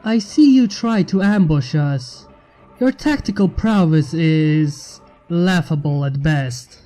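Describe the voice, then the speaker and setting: Man playing a mellow, nonchalant fantasy inventor. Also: a little high. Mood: cold taunting. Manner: nonchalant, formal, measured. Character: calm, nonchalant, coldly logical fantasy inventor leader.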